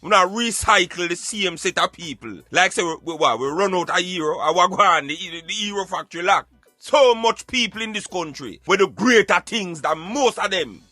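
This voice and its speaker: A man's voice: high voice, lively intonation, normal speed, pushed and bright, dry recording, soft background music. A man with a Jamaican accent hosting a radio show, giving emphatic, colloquial satirical commentary in a conversational way. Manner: emphatic, colloquial, addressing listener as brethren.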